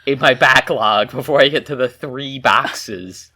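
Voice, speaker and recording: A man co-hosting a casual movie podcast, telling stories in an animated way and laughing often. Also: medium pitch, unhurried. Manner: animated storyteller, giggly. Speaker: podcast co-host. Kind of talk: casual film podcast chat.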